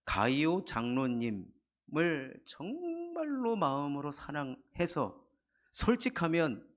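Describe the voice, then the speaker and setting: A middle-aged man preaching a Sunday sermon in a church, his voice hoarse and husky from a cold, building earnest emphasis. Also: mid-range voice. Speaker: pastor. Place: church sanctuary.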